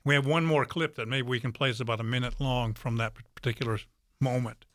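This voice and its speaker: A man's voice: mid-range voice, steady tone, fast, a bit bright, no echo, clean studio sound. A man explaining things as a theater guest in a radio interview.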